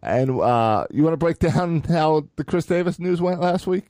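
A man's voice: a little high, moderate pace, darkish tone, no room sound. Dry-sounding man in casual talk. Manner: conversational, steers the talk, dry agreement. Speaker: podcast co-host. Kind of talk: casual sports chat.

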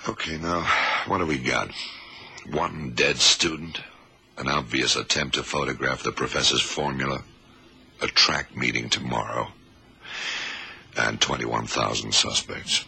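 Very deep, gravelly investigator. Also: male voice, sharp tone, exaggerated voice. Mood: pondering. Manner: deliberate questioning with murmured hums. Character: shrewd, methodical male FBI investigator leading a case. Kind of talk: radio drama acting. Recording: 1970s radio drama, faint noise.

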